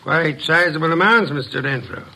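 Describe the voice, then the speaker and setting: An actor playing an imperious detective boss in an old radio mystery, speaking with pompous, deliberate, formal diction. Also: male voice, a little high, gravelly, sharp tone, heavily acted. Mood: formal, probing. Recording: noisy vintage radio recording.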